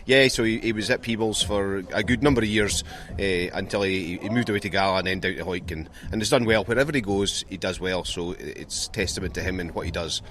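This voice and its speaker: A man, a rugby coach, answering questions in a measured, hesitant way in a pre-match interview. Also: deep voice, a bit bright. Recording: faint outdoor background noise.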